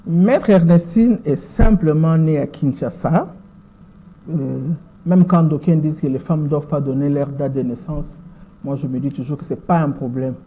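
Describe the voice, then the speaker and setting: A Congolese woman in her sixties answers an interviewer's questions in French with a Congolese accent. She talks in a measured way, repeating words as she searches for them. The sound is thin and boxy, like a voice over a phone line. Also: sits low, mellow, a little hiss or hum. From Democratic Republic of the Congo. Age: 60s. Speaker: interview guest, lawyer.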